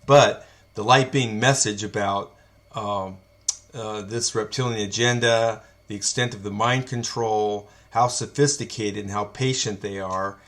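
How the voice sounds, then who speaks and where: A man hosting an interview who speaks haltingly, searching for words with frequent fillers. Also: fairly low pitch, steady tone, a bit bright. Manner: halting, with many fillers and slow, searching phrasing. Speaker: interviewer and host. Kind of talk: conversational interview.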